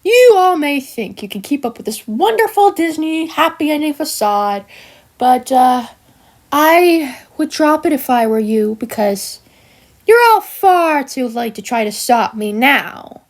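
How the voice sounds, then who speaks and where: A woman voicing a smug, villainous cartoon sheep creature who taunts in a theatrical, mocking manner with drawn-out words and hesitant asides. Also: sits high, big pitch swings, heavily acted. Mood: threatening, contemptuous. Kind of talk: scripted character monologue for a fan voice-over.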